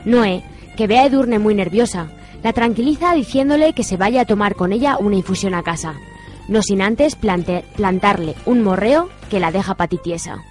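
A woman's voice: mid-range voice, animated, normal speed, darkish tone, soft background music. A woman with an accent from Spain reading scripted narration for a radio soap.

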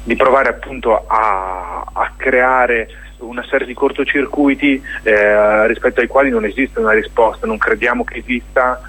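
A man's voice: medium pitch, normal speed, fairly bright tone, breathy edge, rough recording. A man being interviewed on radio as a theatre director, reflective and hesitant as he searches for words while explaining his work.

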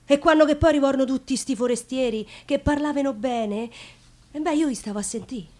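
High-voiced, outspoken Roman woman played by an actress. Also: brisk, darkish tone, natural voice. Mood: proud, frank. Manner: plain-spoken, colloquial, confiding. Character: outspoken, devout, working-class Roman woman of the nineteenth century. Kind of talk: theatrical dialect monologue.

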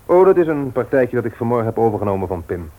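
An actor playing a polite younger bookshop nephew who reasons with quiet insistence in a Dutch radio play. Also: male voice, mid-range voice, big pitch swings, fast talker, narrow, tinny audio, lightly acted. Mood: reasonable, explaining.

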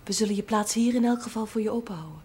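A woman's voice: mid-range voice, racing, darkish tone, breathy edge. An actress playing a kind, sensible young woman, practical and reassuring, in a television period drama. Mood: gentle, reassuring.

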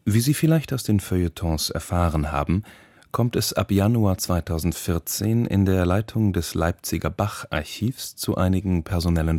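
Male announcer, low dark voice, formal and measured scripted delivery, dry close sound. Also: no echo.

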